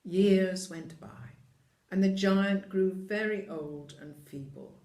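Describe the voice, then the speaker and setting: Slow, expressive storyteller in an echoey room. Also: medium pitch. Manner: deliberate, dramatic phrasing. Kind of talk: expressive scripted storybook narration.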